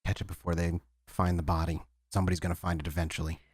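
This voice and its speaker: A man's voice: sits low, flat delivery, quick pace, a little mellow, slightly rough, no echo, studio quality, voice pushed down. A man voicing a weary, grateful client in a tabletop game, subdued and clipped. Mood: grave, subdued. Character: weary, hurried, grateful male client, Mister Larson. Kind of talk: tabletop roleplay in character.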